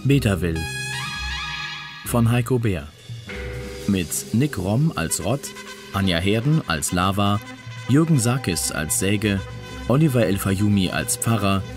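A man reading scripted credits as the announcer of a German radio drama. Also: lowish voice. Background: guitar music.

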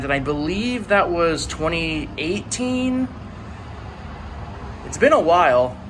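A man giving casual, off-the-cuff walking-tour commentary as a vlogger, with a dry, self-deprecating sarcasm. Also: mid-range voice. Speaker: urban exploration vlogger. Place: outdoors, walking around a building exterior. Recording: outdoor ambience with traffic noise. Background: passing cars, outdoor urban ambience.

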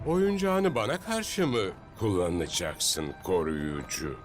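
A man's voice: fairly low pitch, slow pace. A man playing a taunting, theatrical villain who gloats and mocks in a dubbed cartoon. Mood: menacing. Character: gloating male villain, master of illusions, mocking. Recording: music behind the voice.

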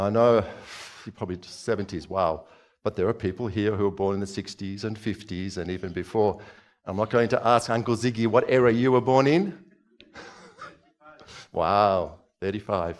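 A man in his forties, born in Czechoslovakia, preaching a conversational sermon from a church stage on a headset mic, asking the audience questions as he moves about. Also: on the low side. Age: 40s.

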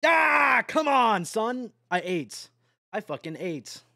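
Young male streamer, high and lively, casual and profane, talking to chat over a truck game, dry close mic. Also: takes it slowly, no echo. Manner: excitable, swears often, yelps and laughs; addresses chat directly. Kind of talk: casual live-stream chatter while playing a truck driving game.

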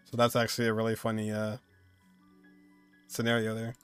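A man's voice: fairly low pitch, monotone, normal speed, a bit bright, smooth, faint music behind. A man in his twenties giving casual, joking, slangy reaction commentary as he streams a video game. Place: indoors, streaming at a desk.

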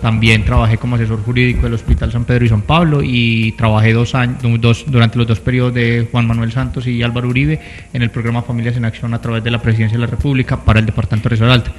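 Male interview guest, thirties, Colombian accent, earnest, fairly flat intonation, studio reverb. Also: mid-range voice, fast talker. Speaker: interview guest, council candidate. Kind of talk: conversational interview answers. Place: small TV studio set. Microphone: handheld microphone.

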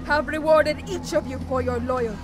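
Actress as high-pitched fanatical vampire villainess. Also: female voice, fairly even intonation, normal speed, over music, close to an everyday voice. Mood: commanding, zealous. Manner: grandiose, commanding oratory. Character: fanatical vampire villainess commanding her army. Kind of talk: video game villain speech.

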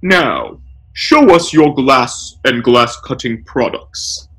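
Comic-story corporate lawyer, acted by a male reader: pompous, deliberate, pedantic, lively intonation. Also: medium pitch, breathy edge, full character voice. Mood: officious. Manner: formal, deliberate legalese.

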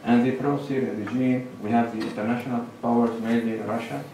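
A man's voice: mid-range voice, steady tone, warm tone, a little hiss or hum. Lebanese man lecturing in an echoey hall. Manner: measured, lists points with pauses. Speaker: conference speaker. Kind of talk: academic presentation. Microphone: lectern microphone, far camera. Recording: echoey hall recorded from far off.

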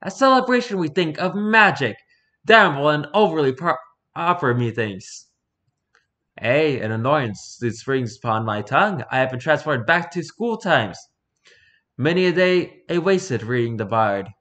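A man's voice: a little high, animated, measured pace, a bit bright. A man voicing noble characters in a stage play, speaking in a theatrical, mock-Shakespearean way.

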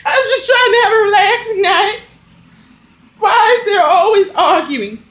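An extremely high-pitched old woman in a comedy skit. She is exasperated and hot-tempered, with a wailing, dramatic way of complaining and a foul mouth. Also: lively intonation, a little slow, fairly bright tone, exaggerated voice. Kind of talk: comic household argument skit.

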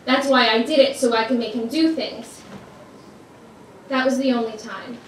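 Tormented woman, played by an actress, high voice, raw theatrical confession, roomy far-off stage sound. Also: steady tone, unhurried, a bit bright. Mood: haunted confession. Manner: blunt, profane, intense. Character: tormented, guilt-ridden woman confessing a dark past. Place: competition stage, indoors. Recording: echoey stage, distant mic, faint noise.

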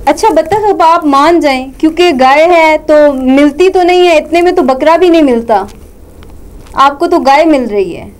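A young woman with a Pakistani accent and a high voice, hosting a puppet comedy. She mediates politely, in the manner of a studio presenter. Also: normal speed. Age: young adult. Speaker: host. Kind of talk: hosting a comic puppet show.

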